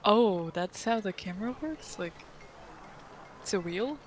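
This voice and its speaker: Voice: mid-range voice, animated, a little slow, slight background noise. A gaming co-commentator chatting casually and reacting to the game. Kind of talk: casual chat.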